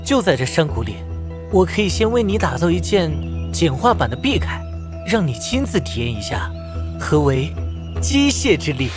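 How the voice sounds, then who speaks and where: A man playing a shrewd, ambitious young mecha-builder hero, assured and persuasive, in an animated fantasy drama. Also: on the high side, moderate pace, over music. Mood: cool, assured.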